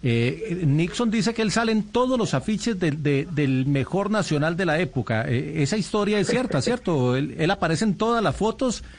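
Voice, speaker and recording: A man's voice: on the high side, expressive, moderate pace, few pauses. A man with a Medellín accent joining a radio panel, amused by a teasing anecdote. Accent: Colombian, Medellín. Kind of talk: radio chat.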